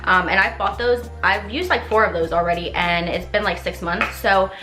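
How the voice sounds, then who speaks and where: Bright chatty young woman vlogger. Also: mid-range voice, normal speed, reverberant, soft background music. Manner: chatty, rambling, playful. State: slightly congested, nasal. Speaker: beauty vlogger. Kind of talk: casual makeup tutorial chat.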